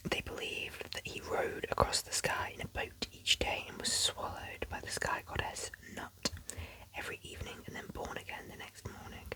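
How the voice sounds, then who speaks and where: A woman whispering a book of facts aloud for ASMR, slowly repeating words, with chatty, lightly sarcastic asides and a sniffly nose from a cold or hay fever. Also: low-quality recording. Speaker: ASMR creator.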